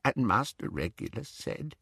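A man voicing a servile, grieving old male house-elf with a croaking, gasping voice in an audiobook. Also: extremely high pitch, expressive, slow, bright, forceful tone, no room sound, studio quality, voice pushed up, heavily acted. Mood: mournful, muffled. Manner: gasping, wailing, drawn out. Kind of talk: audiobook character voice.

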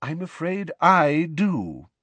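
A man voicing a calm, genial old male headmaster in an audiobook, speaking with gentle, amused courtesy. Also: medium pitch, no echo, voice pushed up. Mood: gentle, apologetic. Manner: gentle, pleasant, unhurried courtesy. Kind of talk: audiobook character acting.

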